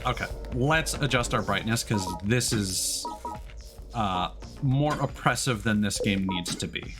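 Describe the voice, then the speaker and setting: A man streaming a video game, reading instructions aloud carefully and adding relaxed asides. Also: lowish voice, unhurried. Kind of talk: let's play commentary, reading on-screen text aloud. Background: game music.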